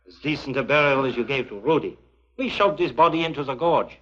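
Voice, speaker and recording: A man's voice: mid-range voice, a little fast, fairly bright tone, lightly acted. A man acting a suave villain, muffled vintage soundtrack. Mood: cold menace. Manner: formal, mock-courteous, precise phrasing. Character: suave, menacing male foreign spy villain, coldly polite. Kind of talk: early 1950s television spy drama acting.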